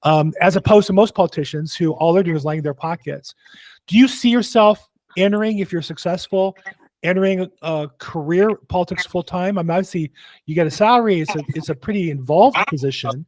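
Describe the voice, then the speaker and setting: A man's voice: high-pitched, fairly quick, a little mellow, dry recording. A man hosting a casual interview, chatty and joking, with lots of fillers. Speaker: host.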